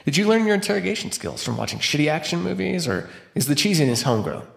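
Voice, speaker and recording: A man's voice: on the high side, very animated, fast, creaky, a little hiss or hum, heavily acted. A man playing a defiant captive vampire in an ASMR roleplay, talking with sarcastic, taunting flippancy. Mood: mocking, bored. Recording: roomy echo.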